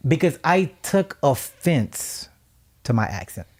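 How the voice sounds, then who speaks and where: A Haitian man co-hosting a radio talk show, speaking reflectively and with emphasis, sometimes becoming animated and laughing as he tells stories. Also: on the high side, takes it slowly. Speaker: co-host.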